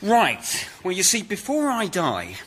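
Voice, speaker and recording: A man's voice: sits high, wildly swooping pitch, unhurried, a bit bright, slight background noise, heavily acted. A British actor playing a selfish, ambitious workaholic father in a radio comedy sketch, blithe and argumentative. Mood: gleeful, ruthless.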